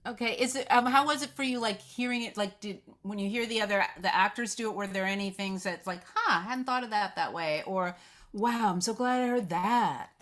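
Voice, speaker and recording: A woman's voice: mid-range voice, fairly quick, fairly bright tone. Woman moderator with a mid-range voice. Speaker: moderator of the reading. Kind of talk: casual Q&A moderating.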